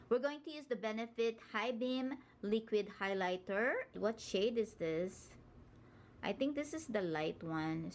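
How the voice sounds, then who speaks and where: A woman's voice: mid-range voice, a little hiss or hum. Filipino-accented woman, chatty beauty vlogger. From Philippines. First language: Tagalog. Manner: chatty, upbeat, addresses viewers directly. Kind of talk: makeup tutorial chat.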